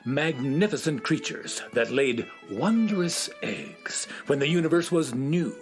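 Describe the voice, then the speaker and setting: An actor playing a bumbling, politely reverent temple curator in a children's radio comedy, halting and deferential. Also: mid-range voice, expressive, soft background music.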